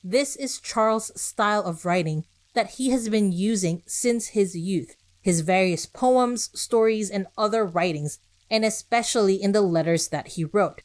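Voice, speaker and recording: A woman's voice: medium pitch, measured pace, a little mellow. Woman hosting a podcast, posing rhetorical questions. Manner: rhetorical questions and short emphatic phrases. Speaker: podcast host. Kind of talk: scripted podcast narration with conversational asides.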